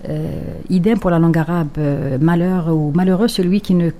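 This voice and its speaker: Woman, Kabyle native, from Algeria, low warm voice, thoughtful pauses. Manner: thoughtful, pausing with fillers. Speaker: poet, guest. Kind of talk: radio interview. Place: radio studio.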